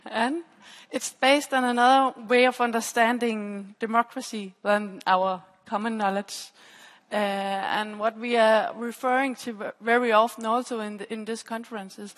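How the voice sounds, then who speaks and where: Fairly high woman lecturing in a hall. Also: steady tone, moderate pace, hardly any pauses. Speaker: PhD researcher presenting at a conference. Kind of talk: academic conference talk. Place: stage in a large dark auditorium. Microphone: headset. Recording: echoey hall with long reverb.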